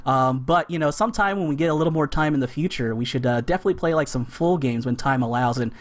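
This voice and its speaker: A man's voice: medium pitch, quick pace. A man hosting a board game stream, chatting casually and with enthusiasm as he plays. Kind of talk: casual chat while playing a board game.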